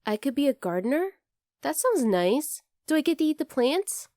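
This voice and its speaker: A woman's voice: high-pitched, sing-song, normal speed, a little mellow, no echo, studio quality. A woman voicing a teasing, curious young bunny girl in an ASMR roleplay, speaking to the listener in a playful, casually blunt way. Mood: stubborn, playful.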